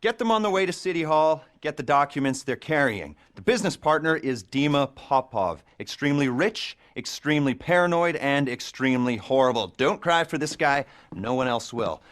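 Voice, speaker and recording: A man's voice: a little high, animated, moderate pace, hardly any pauses, fairly bright tone. An actor playing a middle-aged criminal mastermind who briefs his crew with awkward, self-mocking humor and nervous laughter, in a video game cutscene. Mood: wry. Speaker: heist planner briefing a crew.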